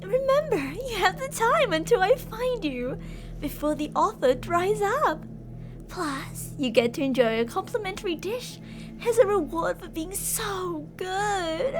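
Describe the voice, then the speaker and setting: Woman acting a high-pitched, obsessive yandere captor. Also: expressive, a little slow, over music. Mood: stern, coaxing. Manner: sugary endearments, menacing, theatrical. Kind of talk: ASMR roleplay monologue.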